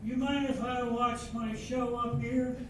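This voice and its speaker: A man's voice: very high voice, flat delivery, a little slow, noisy background. An older man in a readers theatre show casually asking a favor, recorded in a roomy hall from far off. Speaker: amateur readers theatre actor. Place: senior center hall.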